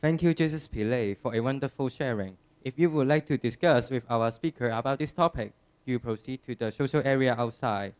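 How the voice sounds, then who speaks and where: A man's voice: mid-range voice, a little fast, darkish tone, clear, resonant tone. A man hosting a conference session, politely thanking the speaker and announcing the next talk. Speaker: conference host. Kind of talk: event announcements. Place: conference hall.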